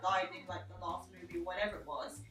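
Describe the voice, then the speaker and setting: A woman chatting casually about a film, halting as she thinks and leaning on filler words like "like". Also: on the low side, steady tone, a bit bright, distant mic. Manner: chatty, halting, peppers speech with "like". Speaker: panel member in a film discussion. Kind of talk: casual chat. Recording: echoey room, off the mic, faint music.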